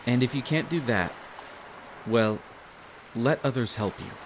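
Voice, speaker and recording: Man playing a slow-talking, expressive, smitten young barista. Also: mid-range voice, noisy background. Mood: sheepish, caring. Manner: playful, bashful, rambling. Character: smitten young male barista on a beach outing, teasing, caring. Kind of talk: intimate roleplay audio acting.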